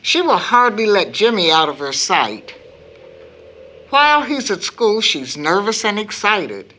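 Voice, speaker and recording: A woman playing a worried, grave middle-aged mother in an audio drama, speaking earnestly and deliberately. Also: medium pitch, sharp tone, heavily acted, poor audio.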